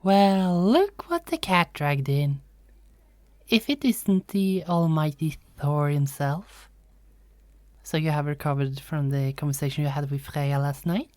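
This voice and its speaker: A woman as cheeky Norse goddess, low dark voice, dry close sound, playful, unafraid, fantasy roleplay. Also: a little slow, no echo. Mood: teasing. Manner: playful, teasing, conversational. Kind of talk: fantasy ASMR roleplay acting.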